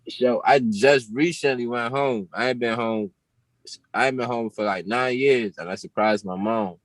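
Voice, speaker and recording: A man's voice: mid-range voice, normal speed, no echo, low-quality recording. A man in his twenties with a Jamaican accent, a musician chatting casually as a guest on an interview show. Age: 20s. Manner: laid-back, slangy, laughs often.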